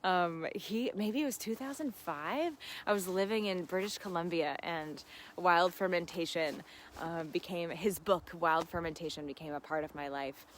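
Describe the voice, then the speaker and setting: A woman's voice: lowish voice, moderate pace, slight background noise. A woman hosting a casual live gardening Q&A, chatting informally and expressively with enthusiasm as she answers viewer questions.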